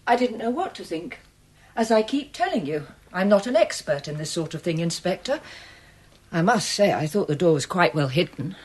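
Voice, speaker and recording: A woman's voice: on the low side, animated, normal speed, darkish tone, husky. An actress playing a guarded, defensive archive assistant in a radio drama, hesitant and wary in her replies.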